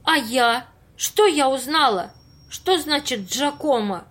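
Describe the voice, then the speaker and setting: An actress playing an earnest, naive, wondering woman at a séance in an audio play, speaking deliberately. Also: sits high, lively intonation, fairly bright tone, full character voice. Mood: puzzled. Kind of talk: amateur audio play acting.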